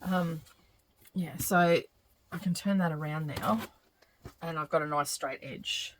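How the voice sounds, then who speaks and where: Middle-aged aussie woman talking thru her quilting, bit croaky, lowish voice, lots of ums, faint hiss behind. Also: unhurried, poor audio. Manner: chatty, thinking aloud with many ums. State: slightly croaky voice. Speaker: quilting channel host. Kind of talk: casual craft vlog narration while working.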